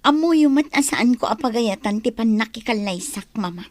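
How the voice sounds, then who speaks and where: A woman's voice: fairly high pitch, expressive, moderate pace, darkish tone, dry recording, close to an everyday voice. A woman with an Ilocano Filipino accent playing a sad, reluctant young bride in a radio drama, subdued and sulky. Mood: sullen, sad. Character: reluctant, unhappy young bride, soft-spoken and resigned.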